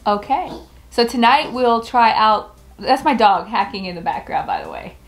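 A woman's voice: on the high side, moderate pace. A middle-aged woman giving a casual, gushing, chatty review to camera in a bedroom. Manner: gushing, expressive. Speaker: home decor vlogger. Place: furnished bedroom indoors.

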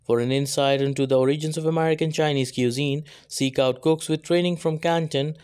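A man narrating a scripted countdown voice-over, announcing each entry by number in a steady list-reading delivery. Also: fairly high pitch, a little fast, smooth.

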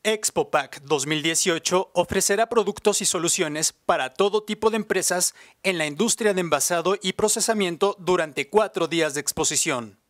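A man reads scripted TV voice-over in a fairly high voice. His delivery is even and announcer-like, and each line is clearly read from a script. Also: moderate pace. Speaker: voice-over narrator. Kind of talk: scripted narration.

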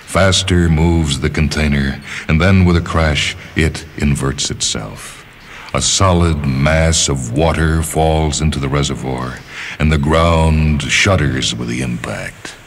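A man reading a literary story as scripted narration, in a measured, deliberate manner, phrase by phrase. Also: very deep voice, a little hiss or hum. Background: music.

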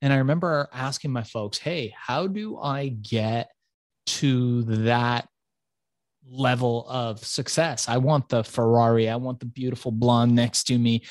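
A man from Iran, whose first language is Farsi, telling his life story as an interview guest in a swaggering, profane, animated way. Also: mid-range voice, unhurried, dry recording. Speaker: entrepreneur guest.

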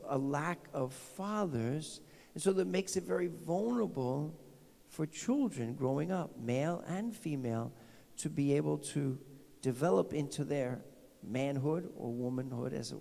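A middle-aged pastor with a New Jersey accent gives a chatty homily into a handheld microphone, full of restarts and questions to the pews. His voice sits a little high, yet it sounds mellow and gravelly. A long church reverb trails after his words. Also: expressive, moderate pace. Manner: informal, repetitive restarts, asks the congregation questions. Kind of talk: conversational homily. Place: large decorated church sanctuary.

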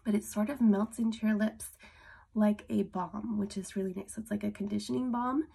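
A woman's voice: medium pitch, steady tone, a little fast, vocal fry, mellow, breathy edge. A woman in her thirties, congested from a cold, chatting casually to camera as she demonstrates a lipstick, with many ums. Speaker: beauty vlogger. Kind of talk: casual product demo to camera. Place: small room at home, indoors.

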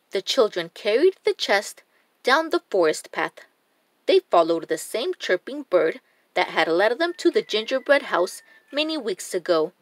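Slow, expressive woman reading a storybook. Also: mid-range voice, no echo. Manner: deliberate, expressive. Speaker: children's story reader. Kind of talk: expressive scripted storybook narration.

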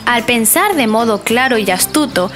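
A woman narrating a children's bedtime story in a gentle, clear storytelling manner. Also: fairly high pitch, lively intonation, moderate pace. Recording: narration over music.

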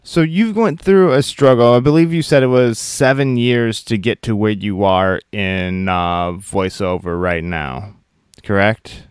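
A man hosting a podcast interview, upbeat and friendly, giving quick affirmations. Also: lowish voice, smooth, dry recording, studio quality. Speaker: podcast host. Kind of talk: casual interview chat.

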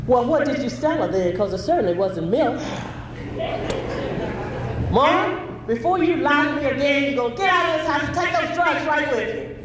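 A woman playing a devout scolding mother, emphatic, high-pitched, distant camera mic, roomy theater hall. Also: animated, moderate pace, long pauses, background noise. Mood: stern, pleading. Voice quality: preachy, emphatic. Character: devout churchgoing mother, scripture-quoting, stern. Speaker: stage actor. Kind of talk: live gospel stage play acting. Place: theater stage with kitchen set. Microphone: far camera.

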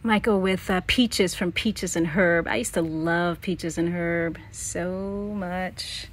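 A middle-aged woman thinking aloud in a halting, casual way as she comments on a scrapbook for a vlog. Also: fairly low pitch, steady tone. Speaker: vlogger, memoir author.

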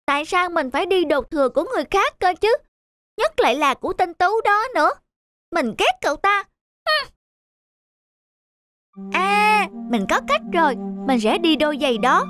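An actor voicing a young schoolboy in a cartoon dub, scheming and petulant, with expressive delivery. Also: very high voice, normal speed, pauses often, exaggerated voice. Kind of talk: cartoon dubbing. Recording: music behind the voice.

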